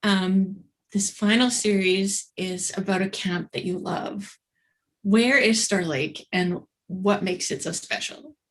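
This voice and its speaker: A woman hosting an online book launch over a video call, appreciative and quick to laugh. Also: mid-range voice, steady tone, a bit bright. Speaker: event host.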